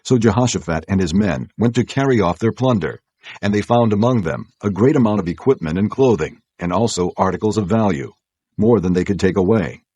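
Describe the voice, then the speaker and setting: A man narrating an audio Bible as scripted storytelling, in a measured, solemn way. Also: lowish voice, animated, mellow, breathy edge, no room sound, lo-fi sound.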